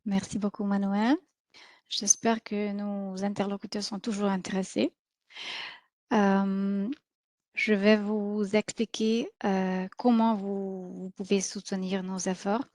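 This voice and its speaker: A woman walks listeners through the application steps in a measured, explanatory way, pausing now and then on fillers. Her voice sits at a middle pitch and sounds dry and close, with no room echo. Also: flat delivery, darkish tone. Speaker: presenter, co-host of the session. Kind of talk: webinar presentation.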